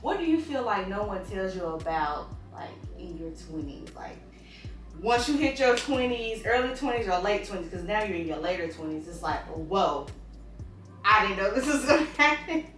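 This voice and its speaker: Bright-voiced woman in her thirties. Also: medium pitch, normal speed. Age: 30s. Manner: animated, laughing, slangy. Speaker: host. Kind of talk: casual chat. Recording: roomy sound from a distance with music.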